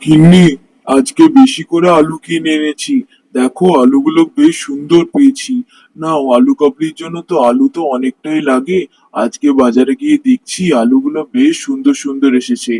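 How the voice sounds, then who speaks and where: Guy doing cartoon food seller husband, cheerful n greedy, highish mellow voice, expressive, dry sound. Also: moderate pace, dry recording, poor audio. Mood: contented. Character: greedy, cheerful married street-food vendor man. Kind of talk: Bengali cartoon story voice acting.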